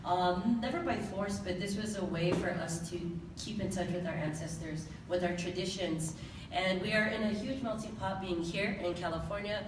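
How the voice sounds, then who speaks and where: A woman from the Pacific Islands, a choreographer and dance teacher, talking loudly like a teacher during a live event interview. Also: medium pitch, steady tone, normal speed. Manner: loud, laughing, teacher-like. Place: large echoey event hall. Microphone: distant handheld phone recording. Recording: echoey hall from far off, noisy.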